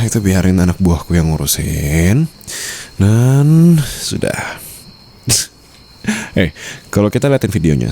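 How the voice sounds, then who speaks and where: Man acting a deep, creaky mafia boss husband. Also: mellow, full character voice. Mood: casual, evasive. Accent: Indonesian. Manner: teasing, coaxing, murmured endearments with English phrases mixed in. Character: possessive, doting mafia boss husband, ruthless to rivals. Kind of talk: intimate ASMR roleplay.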